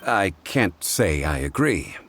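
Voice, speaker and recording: A low-voiced, courteous gentleman scholar from a video game. He reasons calmly and formally, with a lot of melodic movement in his deep voice. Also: slow, faint music behind, heavily acted. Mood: calm, measured. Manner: calm, formal, erudite. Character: composed, courteous, erudite gentleman consultant. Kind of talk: measured video game dialogue.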